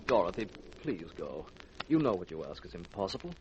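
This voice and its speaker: Troubled musician, deep swooping voice. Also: male voice, very animated, a little fast. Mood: anxious, pleading. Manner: strained, imploring. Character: troubled married concert musician, torn and anxious. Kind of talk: old-time radio drama acting. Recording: noisy vintage radio recording.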